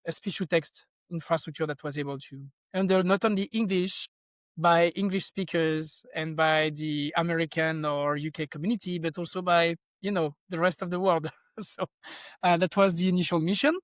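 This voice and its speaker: A man's voice: fairly high pitch, steady tone, moderate pace, dry recording. A middle-aged man from France with a French accent, talking casually and thoughtfully as a guest in an interview. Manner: thoughtful, rambling, with frequent restarts. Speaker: podcast guest, tech company founder.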